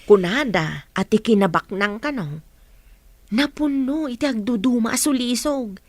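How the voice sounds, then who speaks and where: A woman's voice: a little high, lively intonation, a little slow, no echo. A woman playing a respectful, chatty senior household maid in a radio drama. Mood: confiding. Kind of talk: Ilocano radio drama acting.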